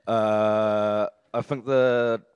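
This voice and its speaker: A man's voice: lowish voice, very slow, clear, resonant tone, dull audio. A man from Chile, a comedian on a panel in a large hall, talking casually into a handheld mic with lots of fillers and jokes. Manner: relaxed, joking, many fillers. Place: large formal hall on stage.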